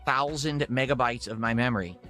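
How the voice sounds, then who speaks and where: Bright, forceful male gaming streamer. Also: mid-range voice, brisk, soft background music. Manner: rambling, chatty, addressing his audience. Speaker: gaming livestreamer. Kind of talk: casual livestream chat to viewers.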